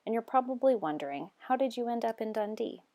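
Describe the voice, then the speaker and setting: A woman in her thirties from Texas with an American accent, telling her own story as a researcher in steady, measured scripted narration. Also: a little high. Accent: American, Texan. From Texas, United States. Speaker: lab group leader, researcher.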